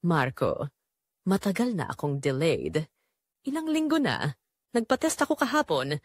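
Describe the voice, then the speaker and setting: A woman's voice: low-pitched, sing-song, a little slow, dry recording, studio quality, heavily acted. A woman voicing a possessive, manipulative older mother in an audio drama, insistent and calculating.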